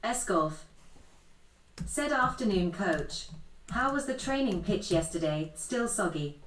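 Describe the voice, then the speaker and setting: A synthetic woman's voice reading out chat messages in a flat, even way. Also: mid-range voice, slow. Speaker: text-to-speech chat reader. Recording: distant, roomy, very noisy stream audio.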